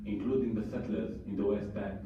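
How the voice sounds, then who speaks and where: A man with an Israeli accent from southern Israel, giving earnest, halting testimony as an activist at a parliamentary hearing, with frequent fillers and restarts. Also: fairly low pitch, slight background noise. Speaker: activist speaker. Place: large parliamentary hearing room. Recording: distant, echoey, muffled room recording.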